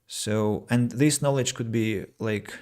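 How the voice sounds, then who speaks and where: A man's voice: fairly low pitch, slow pace, a little mellow. A man from Russia, an English teacher talking through his thoughts on a solo podcast, reading out comments and restarting phrases as he thinks. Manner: reflective, reads listener comments aloud, frequent fillers and restarts.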